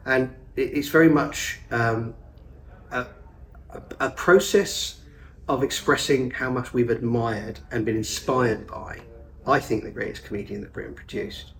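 A male stage actor speaking in an ordinary middle register. He talks quickly and eagerly. He sounds distant from the microphone, as if captured in a small theatre. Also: medium pitch. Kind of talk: interview chat. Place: theatre above a pub. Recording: distant, off-mic.

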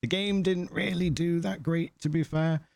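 A man's voice: a little high, steady tone, moderate pace, creaky, darkish tone, no room sound. A man co-hosting a casual gaming chat show, rambling with restarts and losing his voice. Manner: rambling, many restarts and fillers. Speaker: podcast co-host.

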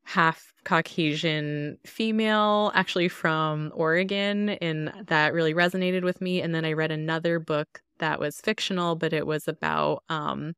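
Low-voiced woman, slow and measured. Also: fairly bright tone, clear, resonant tone, no room sound, studio quality. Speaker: podcast guest. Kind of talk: interview conversation.

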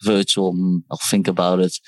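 A man's voice: deep voice, completely flat delivery, moderate pace, a little mellow, no echo. A man born in South Korea and raised in the Netherlands, talking as an interview guest about his work, thoughtful and prone to restarting words. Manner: thoughtful, restarts words, quotes others in asides. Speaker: guest, startup founder and design teacher. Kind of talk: conversational interview.

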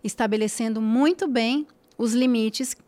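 A woman's voice: on the high side, unhurried, warm tone. A woman, a podcast guest talking casually and emphatically, repeating phrases for stress and checking in with tag questions. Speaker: guest influencer.

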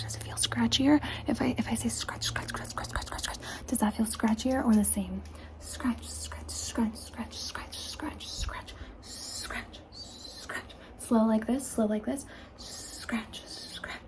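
A woman whispering softly through an ASMR roleplay, asking slow, repeated questions close to the camera mic. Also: on the high side. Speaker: ASMR creator.

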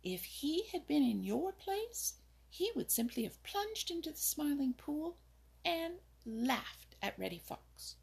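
A woman voicing a sharp, scolding little female wren in a storybook, with a raspy voice. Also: high voice, big pitch swings, slow, voice pushed up, full character voice.

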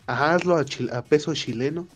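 A man's voice: fairly high pitch, fairly quick. A man with a Mexican Spanish accent talking casually in a group chat. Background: music.

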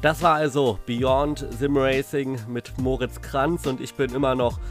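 A man's voice: medium pitch, fairly even intonation, unhurried. A male podcast host with a cold wraps up an interview, eager and grateful as he speaks to his audience. Faint music plays behind his voice. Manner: eager, thankful, addresses listeners directly. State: has a cold.

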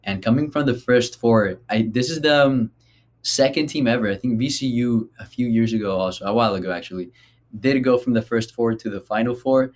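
A man hosting a casual sports talk, excited about the games and turning questions to the others. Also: medium pitch, a little fast. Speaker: host.

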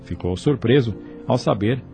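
A man's voice: mid-range voice, lively intonation, moderate pace, vocal fry. A man with a Brazilian accent, reading scripted narration for a radio drama. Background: music.

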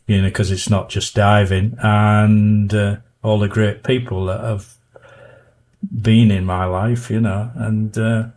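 An older man with a Yorkshire accent, a diver and author, reminiscing in a halting, self-effacing way as a guest in a casual podcast interview. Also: lowish voice, darkish tone. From Yorkshire, northern England.

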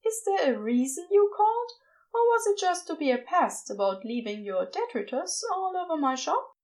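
A woman's voice: very high voice, very animated, moderate pace, darkish tone, voice pushed up, heavily acted. A woman reading a story aloud and voicing a fussy, prim male angel who sounds exasperated yet fond. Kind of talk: podfic character voice.

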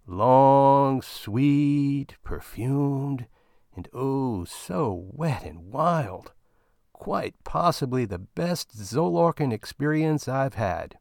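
A crude, chatty space miner with a leering, ungrammatical drawl, as a man reading the story puts him on. His voice sits noticeably higher and louder than the reader's own, and the recording is close and dry. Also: big pitch swings, measured pace, gravelly, no room sound. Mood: boastful. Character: crude talkative middle-aged male space miner.

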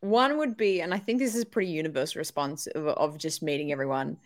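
A woman from Australia, a call-in guest on a podcast, chatting excitedly with lots of fillers. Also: deep voice, quick pace, dry recording, studio quality. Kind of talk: casual podcast chat. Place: home.

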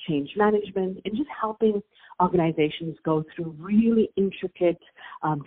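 South African woman, husky voice, tinny phone line, earnest and reflective guest. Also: lowish voice. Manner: earnest, reflective, with fillers and restarts. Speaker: business founder, guest. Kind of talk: conversational interview answers.

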